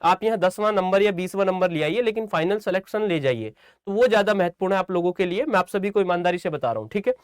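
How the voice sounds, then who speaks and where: High-pitched man teaching. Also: animated, fast talker, clean tone, no room sound, clean studio sound. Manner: repeats phrases for emphasis, often checking with listeners. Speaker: exam coaching teacher. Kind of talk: informal instructional talk to students.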